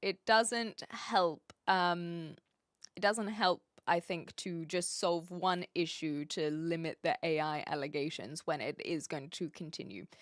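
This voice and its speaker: A woman's voice: fairly low pitch, steady tone, a little slow, dry recording, clean studio sound. A woman from New Zealand streaming live and chatting casually with viewers, rambling a little with restarts and fillers.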